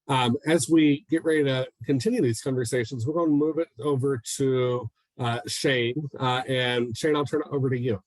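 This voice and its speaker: A man of mid pitch moderating a webinar panel. He speaks graciously, and his sound is close and dry. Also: steady tone, normal speed, darkish tone, no room sound, poor audio.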